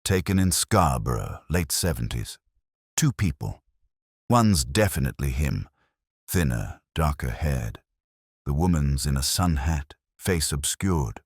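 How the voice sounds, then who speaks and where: A man's voice: extremely low pitch, sing-song, a little slow, breathy edge, no room sound, exaggerated voice. A man voicing a matter-of-fact police sergeant who reports in a clipped, dry manner in an audio mystery. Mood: factual.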